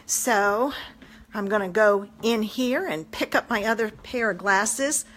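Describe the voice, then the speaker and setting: Brief: rambling friendly crafter woman in her 50s, a bit hoarse, tablet mic in a home room. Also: medium pitch, slow pace, fairly bright tone. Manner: chatty, rambling, talks straight to viewers. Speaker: papercraft demonstrator. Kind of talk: casual live-stream craft chat. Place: home living room, indoors. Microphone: phone or tablet camera at arm's length.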